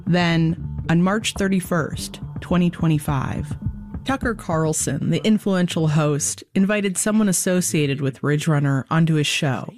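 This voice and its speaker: A man giving scripted documentary narration for a podcast in a measured, storytelling way. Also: fairly high pitch, soft background music.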